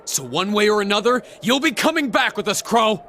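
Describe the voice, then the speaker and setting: An actor playing an earnest, stubborn young student hero and knight pilot in a video game cutscene. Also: male voice, sits high, sing-song, brisk, pushed and bright, heavily acted. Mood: determined. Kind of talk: English dub video game cutscene acting. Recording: roomy echo, faint music behind.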